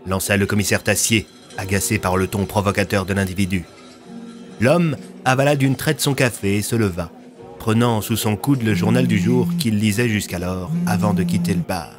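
Low-voiced young man narrating a story. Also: fairly even intonation, normal speed. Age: young adult. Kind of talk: scripted audio fiction narration. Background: soft music.

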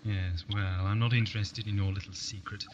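A man with an English accent playing a whimsical, chatty, easily miffed time traveller in an amateur audio drama, with playful, expressive delivery. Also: on the low side, normal speed, dull audio, close to an everyday voice. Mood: inquisitive. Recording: noisy 1980s cassette recording.

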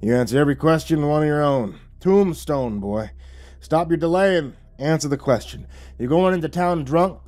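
A man's voice: fairly low pitch, normal speed, dark tone, close to an everyday voice. A man playing a tall, impatient frontier lawman with a threatening in an audiobook story. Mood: irritated, suspicious. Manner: drawling, threatening. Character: tall, gruff, impatient Old West lawman.

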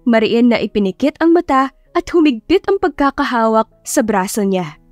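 A woman's voice: on the high side, lively intonation, moderate pace, no echo. A woman with a Filipino accent reading a dramatized story as an audiobook narrator. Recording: dry voice over faint music. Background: soft background music.

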